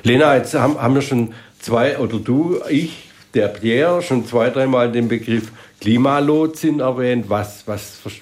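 A man hosting a radio talk show, chatty and relaxed, prompting his guests with questions. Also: mid-range voice, measured pace, hardly any pauses. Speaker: radio host.

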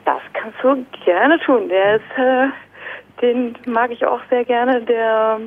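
Gravelly woman on a phone line. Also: on the high side, slow. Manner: hesitant, many fillers and restarts. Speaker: singer-songwriter guest. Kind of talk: casual radio interview by phone.

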